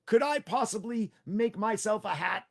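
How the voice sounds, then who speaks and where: A man voicing a small boastful trickster who pleads and stammers in a high voice. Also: animated, normal speed, creaky, full character voice. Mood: pleading. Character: boastful little trickster cowboy creature.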